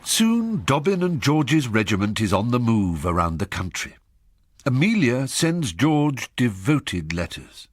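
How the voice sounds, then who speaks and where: A man's voice: mid-range voice, no room sound, clean studio sound. A man playing a wry, knowing English storyteller, narrating a period satire in an arch manner. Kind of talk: scripted narration.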